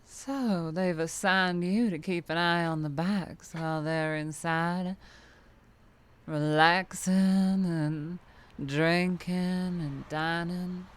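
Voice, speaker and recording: A woman's voice: on the low side, steady tone. A woman playing a tall, teasing, predatory vampire trucker with a Southern US accent and a flirtatious drawl, in an ASMR roleplay. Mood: teasing, curious. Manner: slow teasing drawl with chuckles and pet names.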